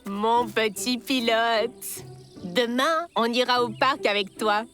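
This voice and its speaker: A woman voicing a gentle, doting old grandmother in a children's cartoon. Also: on the high side, slow, soft background music. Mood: tender. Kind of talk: animated children's series dub.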